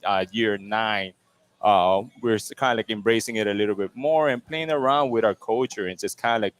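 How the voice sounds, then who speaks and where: A man from Venezuela, a chef and restaurant co-owner, talking in a relaxed, rambling way, full of fillers, in a restaurant. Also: lowish voice, a little fast. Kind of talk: casual interview answers.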